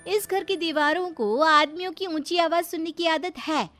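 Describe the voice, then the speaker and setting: Outspoken young daughter-in-law for a moral story, played by a woman: high, challenging, indignant, refuses to back down. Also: normal speed, fairly bright tone, soft background music. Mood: sarcastic, challenging. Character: defiant educated young city daughter-in-law. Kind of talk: Hindi moral story character voice.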